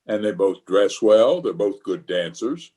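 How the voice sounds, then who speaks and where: An actor playing a pompous, fussy middle-aged water commissioner who gets easily exasperated, in an old-time radio comedy style. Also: male voice, on the low side, sing-song, unhurried, warm tone. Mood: deliberating. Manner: self-important, exasperated.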